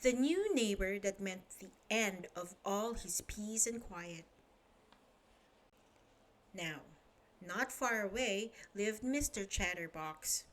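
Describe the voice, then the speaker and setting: A woman reading a children's bedtime story aloud in a lively, expressive way, asking the listener questions. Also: mid-range voice, slow pace.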